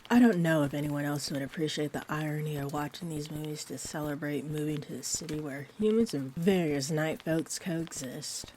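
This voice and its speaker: A woman playing a sweet, rambling young-looking vampire bartender in an intimate roleplay audio, speaking gently and hesitantly with whimsical tangents. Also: low voice, normal speed, few pauses, a little hiss or hum, natural voice, rough recording. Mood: chatty, musing. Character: sweet rambling friendly female vampire bartender, looks twenty-four. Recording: steady rain ambience behind the voice. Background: rain.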